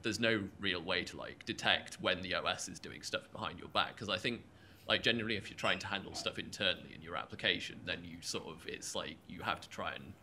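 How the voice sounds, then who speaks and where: A man's voice: low-pitched, fairly quick, sharp tone. A man talking casually about technical problems in loose, hedging conversational phrasing. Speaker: developer raising a problem. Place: conference room. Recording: faint room noise.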